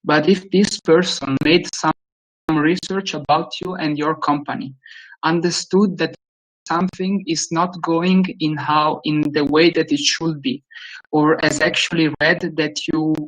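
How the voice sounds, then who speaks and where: A man from Italy with a strong Italian accent, speaking English as a guest speaker in a webinar Q&A, with frequent pauses and restarts. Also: on the high side, a bit bright, rough recording. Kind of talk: webinar Q&A answer.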